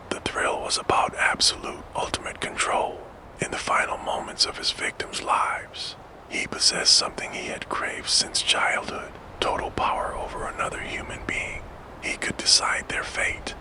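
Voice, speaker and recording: Man whispering solemn true-crime narration. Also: rough recording. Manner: fully whispered, soft and hushed; solemn, measured delivery.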